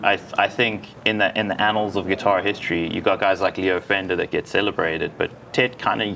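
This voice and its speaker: An upbeat South African man conducts a casual interview and laughs easily. His voice sits on the lower side, with a gravelly fry running through it. Faint background noise hangs behind him. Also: fairly even intonation, sharp tone, low-quality recording. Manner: enthusiastic, quick to laugh. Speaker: interviewer for a music store.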